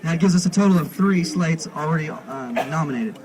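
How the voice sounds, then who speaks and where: Man, fairly high voice, meeting chair, formal announcements, deliberate, halting, outdoors. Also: a crowd in the background. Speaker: convention chair running a meeting. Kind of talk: formal parliamentary procedure, announcing to an assembly. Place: outdoors at a parking lot gathering.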